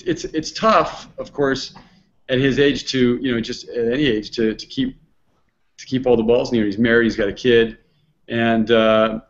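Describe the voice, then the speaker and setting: A man's voice: lowish voice, highs cut off. A man hosting a casual online hangout, talking in a rambling way with many restarts and fillers. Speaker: host.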